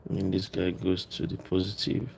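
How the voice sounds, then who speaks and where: A man presenting a casual hands-on electronics tutorial, explaining as he works in a halting, informal way, thinking aloud. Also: low voice, completely flat delivery, vocal fry, a little mellow, a little hiss or hum.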